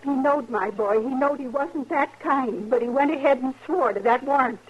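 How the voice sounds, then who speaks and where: An actress playing a proud, embittered older frontier mother in a 1950s radio western, husky and emphatic, with a folksy rural American accent. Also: high voice, moderate pace. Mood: bitter, grieving. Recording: dull, telephone-like 1950s radio recording.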